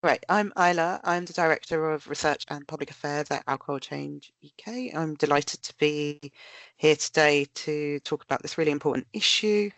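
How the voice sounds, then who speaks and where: A woman's voice: deep voice, normal speed, fairly bright tone, no room sound. A woman with a nasal voice, bunged up with a cold, giving a prepared webinar presentation. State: blocked with a cold. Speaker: research director presenting.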